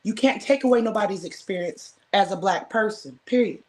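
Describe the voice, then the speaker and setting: A woman's voice: medium pitch, fairly quick. An American woman speaking as a guest in a casual debate, firm and emphatic, driving her points home by repeating them. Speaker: guest caller. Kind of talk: casual heated discussion.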